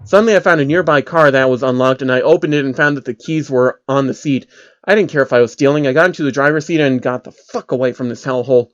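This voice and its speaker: A man's voice: medium pitch, quick pace, muffled recording. A man narrating as host of a dramatic creepypasta reading, telling a first-person story to listeners. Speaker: narrator, channel host reading fan stories.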